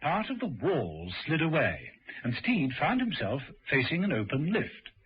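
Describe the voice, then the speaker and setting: A man's voice: fairly high pitch, wildly swooping pitch, a little slow, heavy background noise. A man narrating a scripted vintage radio drama. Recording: dull old radio recording.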